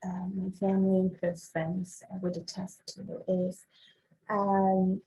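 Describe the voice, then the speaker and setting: A young woman from Morocco speaks as a podcast guest, with a steady, fairly flat tone. She answers thoughtfully, pausing on ums as she works out what she means. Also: medium pitch, poor audio. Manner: thoughtful, measured, with frequent ums. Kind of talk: casual interview talk.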